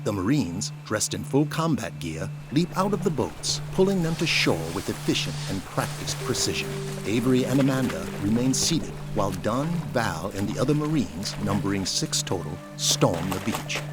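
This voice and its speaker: A man's voice: lowish voice, big pitch swings, normal speed, few pauses. A man reading scripted, dramatic narration for an audio drama. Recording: loud music behind the voice.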